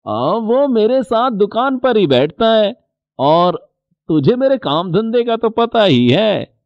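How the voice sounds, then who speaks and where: A man's voice: high voice, very animated, slow, dry recording, dull audio, full character voice. A man voicing a jolly, chatty middle-aged bangle trader in a cartoon story. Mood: cheerful. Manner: hearty, chatty.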